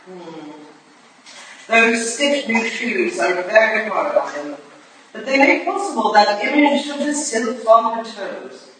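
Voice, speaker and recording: A woman's voice: a little high, sing-song, takes it slowly. A woman acting a stern, aloof older Victorian crusader in formal, commanding style for a staged play reading in an echoey room. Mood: dry, reflective. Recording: very echoey, distant, noisy room recording.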